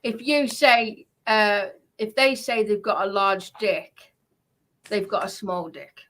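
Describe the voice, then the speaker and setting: A woman's voice: medium pitch, slow, fairly bright tone. A woman chatting and ranting casually on a livestream, blunt and sweary, repeating her points with emphasis. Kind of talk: casual livestream chat and rant.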